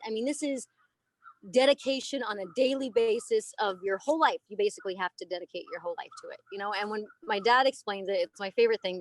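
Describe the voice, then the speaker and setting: A woman in her thirties, an elephant trainer, talking casually and emphatically in a podcast interview. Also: mid-range voice. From United States. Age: 30s. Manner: animated, emphatic storytelling. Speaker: elephant trainer and aerialist guest.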